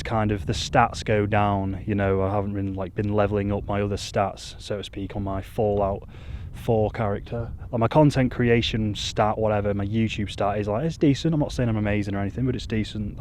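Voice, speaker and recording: A young man in his mid-twenties rambles to camera in a low, flat monotone, cheerfully running himself down. He stands outdoors on a hilltop and speaks into a mic with a furry windscreen. Faint outdoor ambience hums behind him. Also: slight background noise. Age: 20s. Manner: monotone, self-deprecating, rambling. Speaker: YouTuber. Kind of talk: casual vlog talk to camera.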